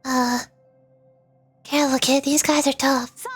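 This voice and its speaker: A woman's voice: high voice, flat delivery, slow. A woman streamer playfully reading game dialogue aloud and switching voices for the characters, with faint game music behind.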